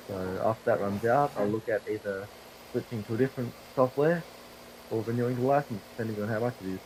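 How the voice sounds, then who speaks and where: A low-pitched young man whose voice is hoarse and fraying from a cold. He rambles and swears through casual game commentary, mocking himself as he goes, into a desk mic with a faint hiss underneath. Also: a little mellow, rough recording. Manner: profane, rambling, self-deprecating. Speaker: gaming video creator. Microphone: desktop microphone.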